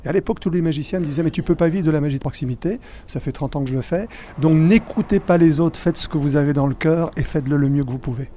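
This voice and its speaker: A middle-aged man, born in Spain and raised in Belgium, speaking as a magician guest in a casual interview, animated and full of restarts. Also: a little high, fast talker, few pauses, slight background noise. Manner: animated, many restarts and fillers.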